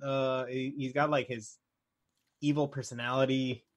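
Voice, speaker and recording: A man's voice: mid-range voice, flat delivery, measured pace. A man co-hosting a podcast, bantering in an informal, playful way. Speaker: podcast co-host. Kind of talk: casual podcast banter about games and films.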